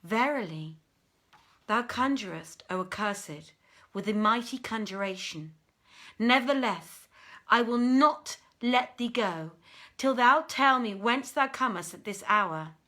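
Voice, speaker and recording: Woman as a forceful, proud jinn princess. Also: on the high side, lively intonation, a little slow. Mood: stern. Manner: imperious, solemn, archaic formal speech. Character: commanding jinn princess, pious, proud and protective.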